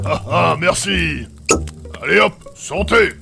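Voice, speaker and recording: An actor doing gravelly, mocking Rox. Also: male voice, fairly high pitch, takes it slowly, music in the background, full character voice. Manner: taunting, crude. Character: crude mocking rough-voiced male adventurer Rox. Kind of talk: audio fiction acting.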